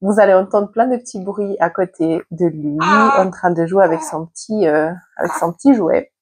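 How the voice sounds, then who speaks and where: A woman's voice: fairly low pitch, normal speed, dark tone, husky. A woman, stuffy with a head cold, telling a personal story to camera at home in a chatty, candid way, with many hesitation fillers. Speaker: vlogger and mother. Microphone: external mic plugged into a camera.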